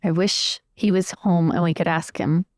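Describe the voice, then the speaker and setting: A woman's voice: lowish voice, steady tone, normal speed, darkish tone, no room sound, studio quality. A woman playing a tabletop roleplaying game, improvising in a casual, chatty way. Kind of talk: casual improvised in-character chat.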